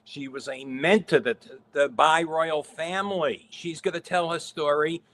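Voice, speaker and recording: A man's voice: on the high side, steady tone, a little slow, fairly bright tone. A middle-aged man hosting a livestream interview, introducing his guest effusively. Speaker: interview host.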